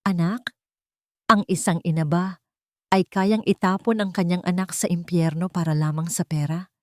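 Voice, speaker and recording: A narrator voices a wronged young woman in an audiobook drama, resolute and bitter. She speaks calmly and with weight, in a lowish, dry-recorded female voice. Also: normal speed, no echo, lightly acted. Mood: bitter accusing. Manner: calm, weighty, accusing.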